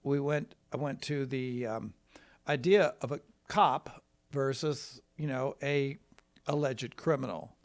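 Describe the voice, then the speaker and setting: An American man from Wisconsin, a trial lawyer telling his story in a casual, rambling, folksy and halting way. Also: mid-range voice. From Wisconsin, United States.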